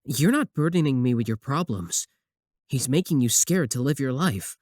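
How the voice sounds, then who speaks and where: A man's voice: medium pitch, expressive, normal speed, a little mellow, dry recording, clean studio sound, natural voice. A young man acting a possessive, obsessive student admirer in a scripted ASMR roleplay, sweet yet menacing. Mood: tender, concerned.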